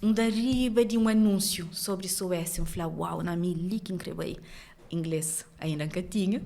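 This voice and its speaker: A woman from France with a Cape Verdean accent, a journalist telling her story as a podcast guest in lively conversation. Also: lowish voice, vocal fry. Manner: animated storytelling, quick laughs. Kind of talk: casual interview conversation.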